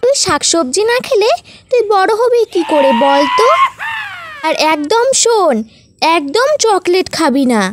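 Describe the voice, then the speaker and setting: A woman's voice: extremely high pitch, lively intonation, measured pace, heavy background noise, voice pushed up. A woman voicing a strict, worrying mother bird in a cartoon story. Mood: scolding. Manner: scolding, fretful.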